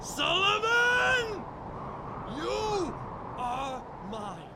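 A man playing a sadistic, gloating supernatural killer in a pulp audio drama, taunting with menace and drawn-out words. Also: very high voice, very animated, shouting, heavily acted. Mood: menacing. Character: sadistic gloating supernatural male killer who fades through walls. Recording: clear background noise.

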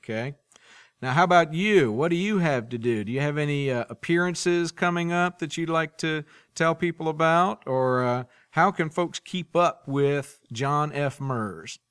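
A man with a slightly high voice hosts a podcast in a very dry, close recording. He moves between interview questions and scripted presenting. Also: measured pace, fairly bright tone, clear, resonant tone, dry recording. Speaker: podcast host.

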